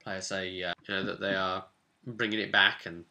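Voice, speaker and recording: A man casually recounting a role-playing session as game master, telling it in an animated storyteller's way. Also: low voice, moderate pace, highs cut off.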